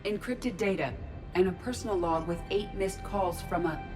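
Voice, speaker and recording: A woman's voice: medium pitch, moderate pace, lightly acted. A woman playing a composed, analytical artificial intelligence in a video game, precise and detached. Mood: analytical, calm. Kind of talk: dramatic video game acting. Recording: music and game sound behind. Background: game music.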